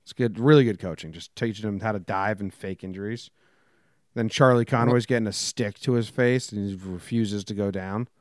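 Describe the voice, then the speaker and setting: A man's voice: lowish voice, normal speed, darkish tone, no room sound. A man co-hosting a sports podcast, trading sarcastic banter and reading offbeat news headlines with dry jokes. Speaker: podcast co-host. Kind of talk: casual sports chat and jokey news rundown.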